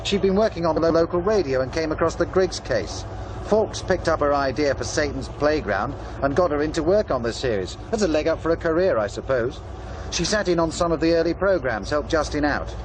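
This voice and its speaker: Dry, shrewd, higher-pitched man acted. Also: lively intonation, brisk, noisy background, natural voice. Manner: dry, matter-of-fact, probing. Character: shrewd, doubtful male radio programme colleague. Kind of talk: naturalistic audio drama dialogue.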